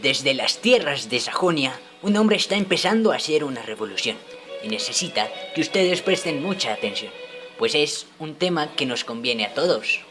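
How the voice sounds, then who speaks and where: A man's voice: a little high, expressive, normal speed, close to an everyday voice. Male actor as town-square minstrel, proclaiming, grand delivery, radio play, prominent music bed. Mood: urgent proclaiming. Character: theatrical medieval minstrel summoning a town crowd. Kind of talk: amateur educational radio play.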